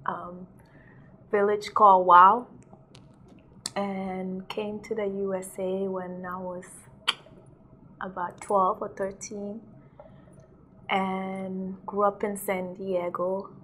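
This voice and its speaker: A woman's voice: medium pitch, flat delivery, slow pace, pauses often, bright, forceful tone, a little hiss or hum. A young woman from South Sudan, a DJ and model, chatting casually in an interview, saying "like" a lot and laughing often.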